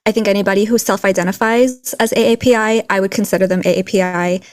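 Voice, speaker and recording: A woman raised in a Texas border town, co-hosting a podcast in a relaxed, reflective way with light laughs. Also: mid-range voice, fast, no room sound, studio quality. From Philippines, raised in a Texas border town. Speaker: podcast co-host. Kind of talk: casual conversational podcast chat.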